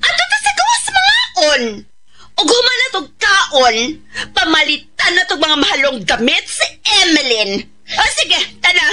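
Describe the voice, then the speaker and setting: An actress with a Filipino accent playing an angry, haughty, class-proud mother in a radio drama, in a shrill, scolding voice. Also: big pitch swings, normal speed, noisy background. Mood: haughty, indignant. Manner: scolding, forceful, melodramatic.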